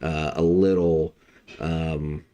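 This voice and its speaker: A very low-pitched man with a slightly hoarse voice, hosting a live trading stream. He speaks in a relaxed, conversational way and fills his pauses with uh and um. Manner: relaxed, lots of fillers like uh and um. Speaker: trading analyst host. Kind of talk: casual live-stream market commentary.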